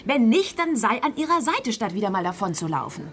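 Sharp, blunt female prosecutor. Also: a little high, big pitch swings, a little fast, a little hiss or hum. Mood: exasperated. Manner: direct, incisive, challenging. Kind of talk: naturalistic crime radio drama acting.

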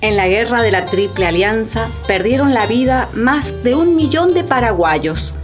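A woman's voice: fairly high pitch, expressive, normal speed, muffled recording. A woman narrating and announcing in a scripted radio theatre style. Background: light music.

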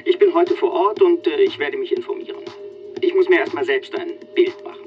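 An actor playing a self-important protest leader giving an emphatic, rhetorical radio interview in a crime drama. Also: male voice, fairly high pitch, huge pitch swings, brisk. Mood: guarded. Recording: dull radio sound with music.

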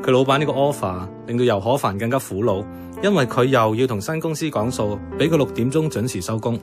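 Casting: male actor as wry, reflective Hong Kong Cantonese cafe worker, medium pitch, radio drama over prominent music. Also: quick pace, lightly acted. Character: wry young tea-restaurant worker narrating his fading old street. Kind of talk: radio drama narration and dialogue.

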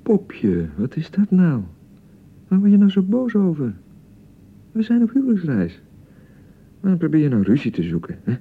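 Through a narrow, phone-like radio play sound comes an exasperated young newlywed husband. His voice is creaky and highly inflected as he coaxes and soothes with pet names. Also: wildly swooping pitch, moderate pace, long pauses, full character voice. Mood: placating. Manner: coaxing, placating, uses pet names. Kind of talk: naturalistic radio drama acting.

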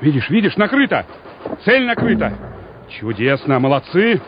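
An actor playing a fervent young Soviet army officer directing mortar fire in a radio play. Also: male voice, on the high side, very animated, normal speed. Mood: elated, excited. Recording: dull radio play audio, loud battle noise.

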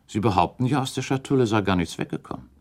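A man acting in a German radio play as a shrewd, composed gentleman accuser, speaking formally and deliberately with insistent, probing weight. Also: lowish voice, expressive, close to an everyday voice.

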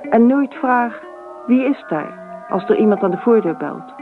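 Imaginative wife, talkative, theatrical storytelling, radio drama, narrow tinny old radio sound. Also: female voice, a little high, lively intonation, unhurried, music in the background. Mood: recounting, indignant. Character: dreamy, talkative, imaginative wife recounting her marriage. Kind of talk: radio drama monologue and dialogue.

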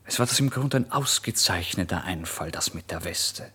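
A man's voice: sits low, animated, moderate pace, husky, exaggerated voice. An actor playing an impoverished, irritable and impulsive male writer, telling his story in a theatrical, emphatic radio monologue. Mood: eager, brightening. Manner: theatrical, emphatic, swinging between hushed and loud. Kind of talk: literary first-person radio monologue, dramatised narration.

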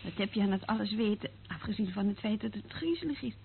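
A woman's voice: mid-range voice, fast talker, vocal fry, lightly acted. An actress playing a sceptical female colleague in a radio play, dry and questioning. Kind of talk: radio drama acting. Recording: narrow muffled old radio recording, faint noise.

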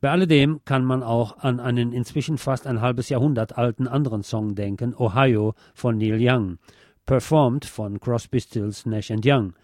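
A man's voice: mid-range voice, steady tone, moderate pace, darkish tone, no echo, studio quality. A man reading a scripted political and music commentary as a presenter.

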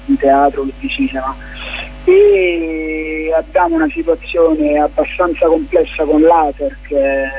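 A man with a husky voice, speaking as a guest in a casual radio interview, explaining his projects in a hesitant, rambling way. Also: a little high, dark tone, slight background noise, low-quality recording. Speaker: playwright and documentary filmmaker, interview guest.

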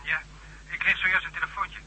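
Husky, sharp-edged contractor. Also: male voice, sits high, flat delivery, heavily acted, poor audio. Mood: grave, formal. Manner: formal, measured, serious. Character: businesslike, sober building contractor, bringing bad news. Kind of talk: radio drama acting.

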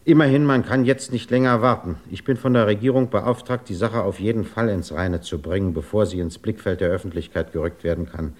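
An actor playing a courteous, resolute government investigator in a 1950s German radio drama, speaking formally and deliberately. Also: male voice, on the low side, darkish tone, natural voice. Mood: measured, matter-of-fact.

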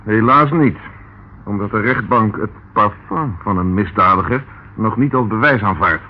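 An actor playing a calm, dryly ironic male police inspector in a radio drama. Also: lowish voice, normal speed, slightly rough. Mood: assured. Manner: dry, composed, ironic. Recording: tinny, noisy old radio recording.

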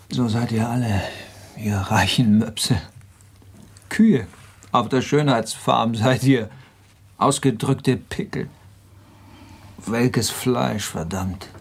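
An actor playing a bitter, mocking drinker in a radio drama, with halting, sardonic delivery. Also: male voice, medium pitch, vocal fry, breathy edge, exaggerated voice. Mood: bitter, scornful. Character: bitter, mocking hard-drinking man in a sour relationship. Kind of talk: naturalistic radio drama acting.

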